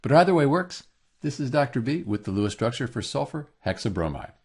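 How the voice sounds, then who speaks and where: A man narrating a scripted tutorial as a teacher, explaining clearly step by step. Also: mid-range voice, sing-song, a little fast, darkish tone. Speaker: chemistry teacher, tutorial host.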